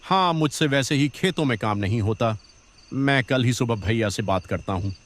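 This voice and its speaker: Man as lazy younger son, medium-pitched. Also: lively intonation, normal speed, close to an everyday voice. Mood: whiny agreeing. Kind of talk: Hindi cartoon moral story dialogue.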